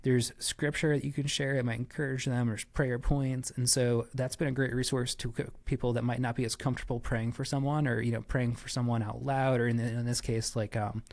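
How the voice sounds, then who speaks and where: A man's voice: mid-range voice, little pitch movement, brisk, few pauses, darkish tone. A man giving conversational interview answers as a podcast guest, explaining things with frequent asides and qualifications. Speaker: church staff member, podcast guest.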